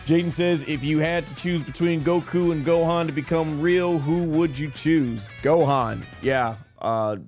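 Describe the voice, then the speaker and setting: Higher-voiced man rambling over gameplay. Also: unhurried, few pauses, a little mellow. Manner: enthusiastic fan talk, rambling. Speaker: gaming streamer. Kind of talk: casual live gameplay commentary. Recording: game music behind the voice. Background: video game music.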